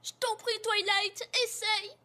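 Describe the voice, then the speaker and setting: Very high-pitched, excitable little cartoon filly. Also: female voice, measured pace, a little hiss or hum, exaggerated voice. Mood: pleading. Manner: pleading, exclamatory, repeats words. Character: excitable, impatient young filly, desperate and dramatic. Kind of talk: animated children's cartoon dub. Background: music.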